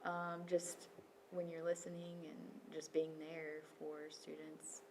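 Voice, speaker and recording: A woman from Kentucky who works as an instructor, answering interview questions in a thoughtful, measured way. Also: sits low, completely flat delivery. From Kentucky, USA. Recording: noticeable background noise.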